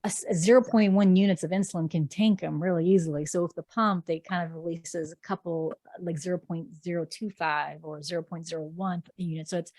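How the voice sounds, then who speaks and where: A woman's voice: fairly low pitch, steady tone, brisk, hardly any pauses, darkish tone, dry recording. A woman in her thirties whose first language is Portuguese, talking in a chatty, rambling way with frequent ums as a guest on a podcast interview. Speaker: sports reporter guest.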